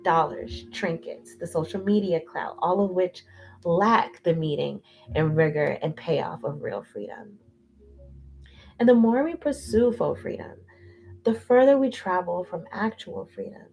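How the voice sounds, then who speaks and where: A woman reading a nonfiction book aloud in a measured, deliberate way. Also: lowish voice, expressive, creaky, darkish tone, low-quality recording. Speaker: audiobook reader. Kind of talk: unhurried audiobook reading.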